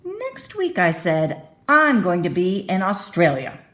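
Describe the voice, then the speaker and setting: A woman's voice: lowish voice, huge pitch swings, slow pace, a bit bright. A woman reading a children's bedtime story aloud expressively, stretching key phrases with dramatic emphasis.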